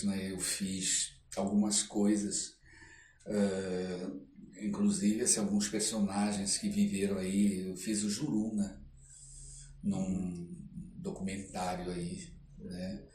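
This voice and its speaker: An older man with a Brazilian accent, telling personal anecdotes as an interview guest in a halting, reflective way. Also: low voice, level tone, a little mellow. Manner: halting, reflective, frequent tag questions. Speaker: interview guest, actor and storyteller. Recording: roomy echo, clear background noise.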